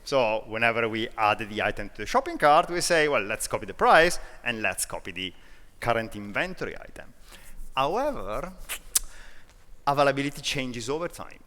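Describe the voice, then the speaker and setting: A man from Italy with an Italian accent, giving a conference talk in a self-deprecating, lively way with frequent restarts. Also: mid-range voice, moderate pace, big-room sound. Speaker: conference speaker. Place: large conference hall.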